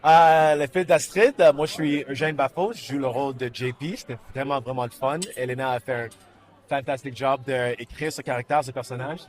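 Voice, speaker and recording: A man's voice: medium pitch, steady tone, normal speed. A man with a Canadian French accent, an actor in a casual interview, speaking informally, repeating himself and slipping in English words. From Canada, born in Montreal and grew up in Winnipeg.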